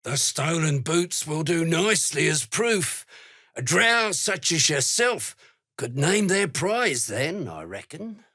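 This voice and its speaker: An actor voicing a gruff, callous duergar slave hunter in a video game, blunt and threatening, with a gravelly voice. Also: male voice, a little high, sing-song, slow, bright, forceful tone, no room sound, clean studio sound, heavily acted. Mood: curt, businesslike. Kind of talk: video game character voice lines.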